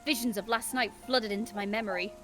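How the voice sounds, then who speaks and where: A woman reading aloud as a shy, flustered teenage schoolgirl in a visual novel, expressive and earnest. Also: mid-range voice, fast talker, music in the background, close to an everyday voice. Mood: earnest narration.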